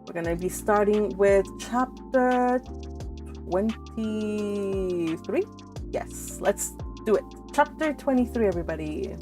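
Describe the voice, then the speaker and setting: A woman hosting a book read-along, moving between casual, chatty talk and expressive reading aloud, with informal asides. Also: mid-range voice, slow, music in the background. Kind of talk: casual livestream chat and expressive audiobook-style reading aloud.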